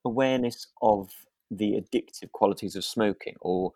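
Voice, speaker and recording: A man's voice: on the low side, moderate pace, mellow, no room sound. A man co-hosting a podcast, discussing things casually and searching tentatively for his words. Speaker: podcast co-host.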